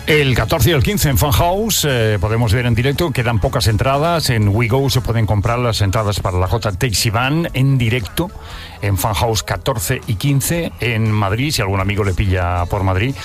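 A man's voice: mid-range voice, normal speed, faint music behind. A man hosting a radio show, asking questions in a chatty, enthusiastic way. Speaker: radio host. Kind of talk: casual radio interview.

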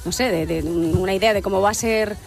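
A woman speaks openly and reflectively as a singer guest in a radio studio. Her voice sits at a middle pitch, and soft music plays faintly underneath. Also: creaky. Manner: candid, reflective, quick to laugh. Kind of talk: radio interview chat.

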